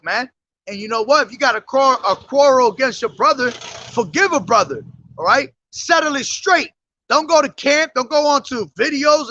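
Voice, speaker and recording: A very high, bright-toned man preaching outdoors, his voice worn hoarse. He reads scripture in a slow, measured way and then presses each point with "man" and "all right?". The recording is dull and lo-fi. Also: no echo. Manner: emphatic, calls listeners "man", reads scripture slowly. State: hoarse from days of yelling. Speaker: street preacher. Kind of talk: street sermon with scripture reading. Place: outdoors on a street.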